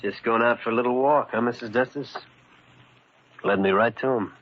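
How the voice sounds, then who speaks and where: A man's voice: medium pitch, moderate pace, natural voice. An actor playing a smug, menacing gunman who gives curt orders in a 1940s radio drama. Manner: sneering, clipped commands. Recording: muffled, noisy 1940s radio recording.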